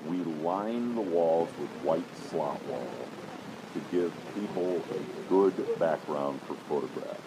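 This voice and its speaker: A man with a very low, deep voice talks through stories about his exhibit, slipping into quoted dialogue as he goes. Loud background noise from a busy convention floor runs under everything. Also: slow pace. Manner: deep voice, storytelling with acted-out quotes. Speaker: exhibit founder, interview guest. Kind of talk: relaxed anecdotal interview.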